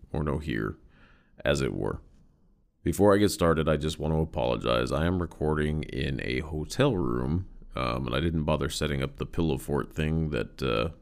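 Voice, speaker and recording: Gravelly deep man with a stuffy cold. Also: a little mellow. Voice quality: nasal. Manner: loose and self-deprecating, swears often. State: stuffy-nosed from a head cold. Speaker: podcast host. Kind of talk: casual solo podcast chat. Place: hotel room. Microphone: USB condenser mic.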